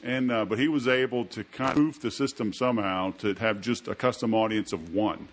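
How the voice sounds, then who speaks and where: A man's voice: fairly low pitch, fairly quick. Chatty podcast host, man. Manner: loose, chatty, joking asides. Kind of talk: casual interview chat.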